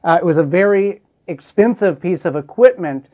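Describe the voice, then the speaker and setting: A man's voice: high-pitched, expressive, normal speed, mellow. A man hosting a casual show-and-tell, explaining things in a folksy, plainspoken way. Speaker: host showing a collectible.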